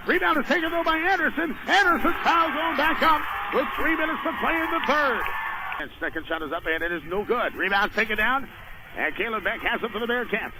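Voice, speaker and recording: A man doing live radio play-by-play of a basketball game in clipped, urgent phrases. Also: very high voice. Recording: noisy radio broadcast of a game.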